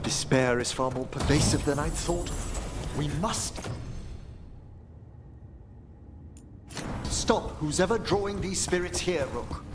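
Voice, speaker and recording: A man playing a courtly, scholarly necropolis mage in a fantasy game, speaking formally and eloquently in measured phrases. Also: a little high, natural voice. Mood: grave, guiding. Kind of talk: fantasy video game voice acting. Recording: game music behind the voice.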